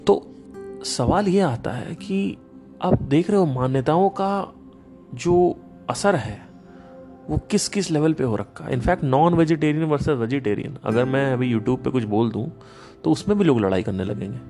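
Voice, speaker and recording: A man of middle pitch talks through a podcast on spiritual ideas, emphatic and animated as he tells stories. Faint guitar music sits under his voice. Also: a little slow. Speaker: podcast host. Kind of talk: casual spiritual talk.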